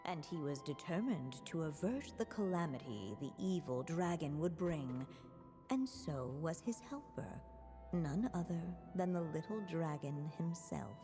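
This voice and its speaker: A woman giving scripted fairy-tale narration in a game, in a slow, gentle storytelling manner. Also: sits low, animated. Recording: reverberant, with faint music.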